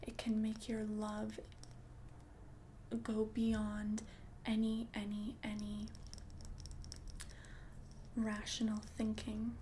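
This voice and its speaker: A woman voicing an ancient, confident witch in a whispered ASMR roleplay, speaking in a soft, breathy, deliberate and soothing way. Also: medium pitch, monotone, a little hiss or hum. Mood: hushed, cautioning. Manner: slow, deliberate, soothing, repeats words for emphasis. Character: ancient, confident witch brewing love potions.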